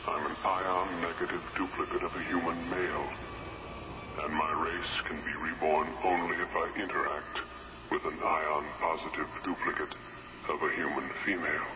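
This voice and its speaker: An actor playing a calm alien life form living in a duplicate of a human man's body, explaining in a measured way in a 1970s radio drama. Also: very deep voice. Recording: dull old radio broadcast, hiss.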